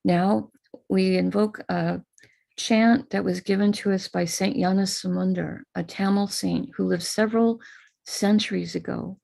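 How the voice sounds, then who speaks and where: An American woman guides a ritual over a video call at a slow, unhurried pace. Her voice has a gravelly edge of fry, and her tone stays calm and instructive as she explains each step. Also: mid-range voice. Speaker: spiritual teacher leading a ritual. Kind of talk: guiding a live online prayer ritual.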